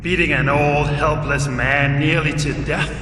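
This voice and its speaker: A man's voice: fairly high pitch, fairly even intonation, unhurried, far from the mic. An actor playing a gloating old villain in a video game, pompous and mock-polite as he taunts. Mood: scornful gloating. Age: older. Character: gloating old male villain, menacing and theatrical. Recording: cavernous long reverb, loud music behind.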